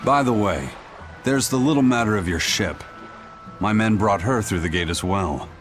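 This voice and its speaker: A stern, composed pirate officer from a game, acted by a man speaks in a low voice. The delivery is measured and matter-of-fact, with music clearly behind it. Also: animated, close to an everyday voice. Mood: businesslike. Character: stern, composed male pirate first mate. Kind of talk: dramatic game voice acting.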